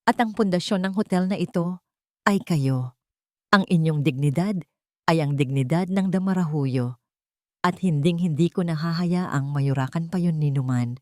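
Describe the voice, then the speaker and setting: A woman's voice: low voice, moderate pace, warm tone, no echo, clean studio sound, natural voice. A woman voicing an earnest, remorseful billionaire man in a romantic audio story, solemn and pleading. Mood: solemn, resolute.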